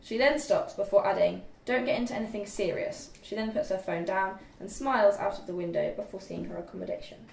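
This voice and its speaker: A woman's voice: mid-range voice, fairly quick, gravelly, few pauses, a little mellow. A young woman reading screenplay directions aloud as narrator at a group table read. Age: young adult. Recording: distant echoey room, faint noise.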